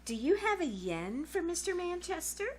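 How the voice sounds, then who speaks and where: A woman's voice: very high voice, very animated, moderate pace, noisy background. A woman playing a bossy, gushing teenage girl who talks in dramatic slang, in 1940s radio comedy style. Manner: slangy, dramatic, know-it-all. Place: small-town theater stage, live performance.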